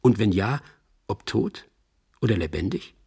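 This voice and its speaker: Crime radio drama narrator: wry old friend, lowish husky voice, deliberate delivery. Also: male voice, lively intonation, warm tone, exaggerated voice. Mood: wry, reflective. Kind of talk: radio play narration, reporting like an epilogue.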